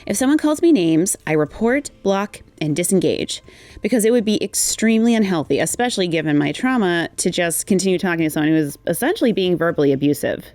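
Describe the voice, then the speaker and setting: A woman's voice: on the low side, lively intonation, fast, a little mellow, no room sound. A woman reading a personal testimony aloud as a guest contributor. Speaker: guest reader of a written community response.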